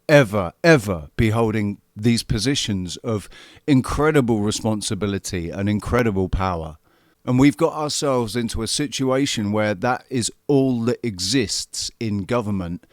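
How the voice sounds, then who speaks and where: A man's voice: mid-range voice, dry recording, studio quality. A man in his forties giving heated, profane political commentary as the host. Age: 40s. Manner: angry, profane, repetitive emphasis. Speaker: show host commenting on a played clip.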